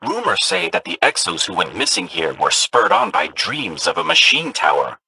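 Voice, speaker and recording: An actor voicing a small, anxious, chatty robot companion in a video game, earnest in manner. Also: male voice, mid-range voice, wildly swooping pitch, moderate pace, gravelly, noisy background, full character voice. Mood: uneasy.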